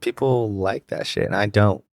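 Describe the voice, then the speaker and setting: A man's voice: on the low side, moderate pace, gravelly, no echo. A man co-hosting a podcast in casual chat, blunt and informal, often trailing off mid-thought. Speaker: podcast co-host.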